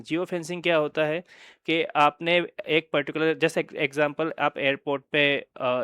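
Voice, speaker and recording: An Indian man with a fairly high, smooth voice explains products in an informal presentation. He speaks hesitantly, drops in English phrases and punctuates points with little check-in words. The sound is close and dry. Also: a bit bright, no echo. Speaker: company presenter of wireless communication products.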